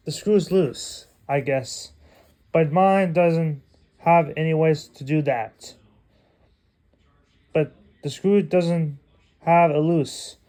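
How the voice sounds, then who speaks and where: Slow-talking man with a higher-pitched voice. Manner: halting, short phrases, non-standard grammar. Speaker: unboxing video creator. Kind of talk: casual unboxing vlog, talking through a demonstration.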